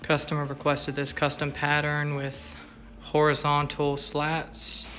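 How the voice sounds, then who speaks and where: A man's voice: on the high side, monotone, takes it slowly, sharp tone. A young man casually walking through the steps of a woodworking job, plainly and step by step, with fillers, filmed on a phone in a large shop. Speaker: woodworker demonstrating. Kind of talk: casual how-to walkthrough. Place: large woodworking shop and spray booth, indoors and out. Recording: echoey shop with music behind.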